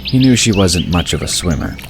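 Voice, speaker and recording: A man narrating a story in a measured, even way. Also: low-pitched. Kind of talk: scripted audiobook narration. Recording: hissy recording with bird ambience.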